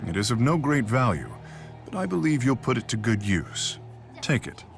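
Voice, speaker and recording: An actor playing a stoic, proud exiled king in a video game, speaking in a formal, measured, deadpan manner. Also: creaky. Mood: grave. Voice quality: deep. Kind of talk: scripted game dialogue. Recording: game music behind the voice.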